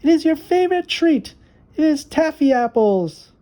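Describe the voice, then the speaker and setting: A woman voicing an eager, bossy little girl in a children's storybook, high and childlike with an emphatic singsong delivery. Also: animated, normal speed, warm tone. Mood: matter-of-fact.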